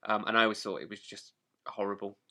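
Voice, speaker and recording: A man's voice: lowish voice, little pitch movement, fast talker, vocal fry, bright, forceful tone. A man co-hosting a podcast, chatting casually with a wry, sweary manner. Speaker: podcast co-host. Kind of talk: casual chat.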